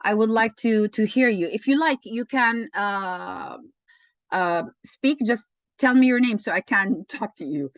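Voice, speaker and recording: A woman leading an online teaching session, slow and encouraging, often thanking participants. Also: medium pitch, lively intonation, dry recording. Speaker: webinar lecturer. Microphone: video call. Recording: dull online call audio.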